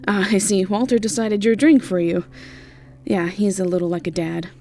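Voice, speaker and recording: A woman voicing a brisk, chatty, easily irritated witch innkeeper in an audio drama, speaking casually with hesitations and swearing. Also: medium pitch, fairly even intonation, mellow, natural voice. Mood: chatty, friendly. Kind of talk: audio drama character acting.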